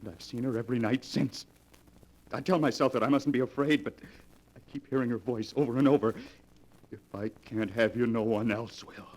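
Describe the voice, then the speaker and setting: An actor playing a haunted, fearful young bridegroom confessing intensely, in 1940s radio melodrama style. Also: male voice, medium pitch, steady tone, brisk, darkish tone, lightly acted, rough recording. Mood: dread. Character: haunted, fearful young bridegroom recounting his torment to a doctor.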